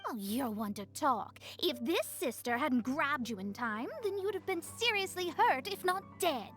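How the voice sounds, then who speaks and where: Proud, sharp-tongued game astrologer, lively intonation, light music underneath. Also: female voice, high voice, moderate pace. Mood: scolding. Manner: pompous, wry, scolding. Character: Mona, proud young woman astrologer, sharp-tongued and haughty. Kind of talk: game cutscene acting.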